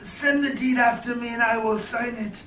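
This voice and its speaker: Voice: medium pitch, fairly even intonation, moderate pace, pushed and bright, close to an everyday voice. A performer playing a broken, bitter moneylender in a Shakespearean stage reading. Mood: defeated. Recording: distant echoey small theatre, background noise.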